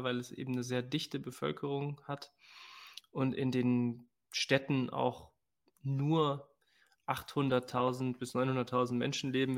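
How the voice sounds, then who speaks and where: A man talking conversationally as a guest on a podcast. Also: medium pitch. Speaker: podcast guest, agroecology worker.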